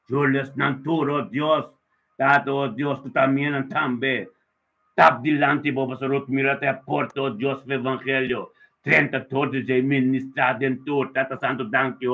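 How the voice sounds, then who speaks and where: A man's voice: medium pitch, creaky. A man leading a fervent spontaneous prayer as a preacher, calling out in short, repetitive, emphatic bursts, on a tinny, band-limited recording. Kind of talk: extemporaneous devotional prayer of thanksgiving and blessing.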